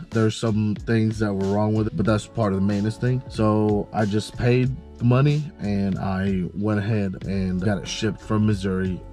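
A young man with a stuffy, nasal voice talks casually to camera from the driver's seat of a parked car. His voice is low and mellow, and he rambles along with plenty of fillers. Soft music plays faintly underneath. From Mississippi. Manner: relaxed, chatty, many fillers. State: congested, nasal. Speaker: car channel host. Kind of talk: casual vlog talk to camera. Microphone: camera on dashboard.

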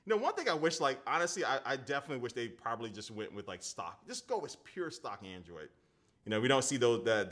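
A man's voice: on the low side, sharp tone. A man in his thirties hosting a casual live-stream phone unboxing, chatting loosely with viewers and reading their comments aloud. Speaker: tech reviewer. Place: indoor home studio at a desk.

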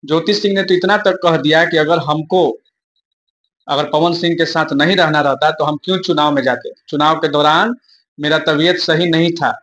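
A man's voice: fairly high pitch, steady tone, moderate pace, a bit bright. A young man giving informal news commentary to viewers in an emphatic, repetitive explaining manner. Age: young adult.

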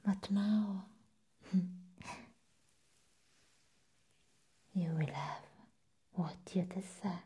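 Woman acting a slow whispering vampire nurse. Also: medium pitch. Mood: slow menacing. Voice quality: breathy whisper. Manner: slow, teasing, with drawn-out hums and soft chuckles. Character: crazy seductive female vampire nurse, softly menacing. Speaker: ASMR performer. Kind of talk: whispered ASMR roleplay. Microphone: close microphone, face near the camera.

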